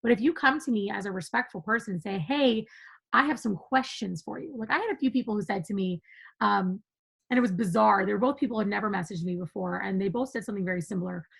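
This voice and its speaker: A woman's voice: medium pitch, quick pace, gravelly, a bit bright, husky. A woman guest on a podcast, speaking with passion and emphasis in a conversational interview, laughing now and then.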